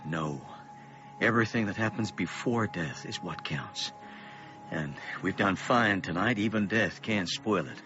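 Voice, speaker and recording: An earnest middle-aged dad in a radio drama, deliberate and theatrical. His pitch swings widely as he stands up to evil with tenderness. The recording is dull, with music underneath. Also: animated, pushed and bright, full character voice. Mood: grave, reassuring. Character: earnest ageing father defying evil with tenderness. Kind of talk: radio play acting.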